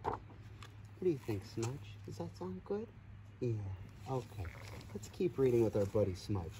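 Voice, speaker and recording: A man in his forties reading a children's story aloud outdoors, expressive, with playful asides. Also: medium pitch, measured pace, slight background noise, poor audio. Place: outdoors on grass in a farm field.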